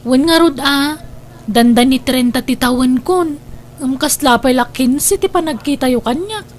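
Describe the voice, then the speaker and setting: High-voiced Ilocano grown daughter, acted by a woman. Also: moderate pace, slight background noise, lightly acted. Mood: mild, weary. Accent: Ilocano, northern Philippines. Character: mild, forbearing grown daughter, about thirty. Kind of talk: Ilocano comedy drama acting.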